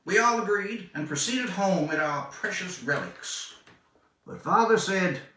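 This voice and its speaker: Man, literary story reading, bright expressive voice, slow measured phrasing, dramatic pauses, distant mic in echoey room. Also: high voice. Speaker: author reading own short story.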